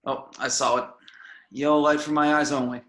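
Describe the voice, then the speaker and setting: A man's voice: fairly high pitch, little pitch movement, moderate pace, a bit bright, reverberant. A man reading the part of a spaceship captain briefing his crew in a script table read. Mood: matter-of-fact.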